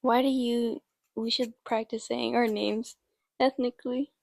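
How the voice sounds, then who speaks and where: A laid-back woman co-hosts a podcast, her voice pitched fairly high and close to the mic. She talks loosely and teases her co-host. The sound is dry. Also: flat delivery, a little slow, vocal fry, a little mellow, dry recording. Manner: laid-back, teasing, joking. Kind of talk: casual chat.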